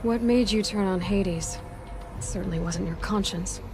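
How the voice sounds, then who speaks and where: A woman's voice: mid-range voice, normal speed, music in the background, lightly acted. An actress playing a young female hunter in a video game, bold and skeptical, with a pointed, sardonic and challenging way of speaking. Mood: accusing, sardonic. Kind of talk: video game cutscene acting. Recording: clear background noise and ambience.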